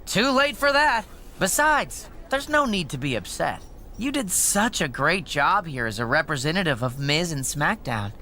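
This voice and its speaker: A smug wrestling-game schemer with a high, bright voice that swoops with mockery. He needles like a slick henchman, sure he has won. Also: big pitch swings, moderate pace, slight background noise, heavily acted. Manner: smug, mocking. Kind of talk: video game cutscene acting.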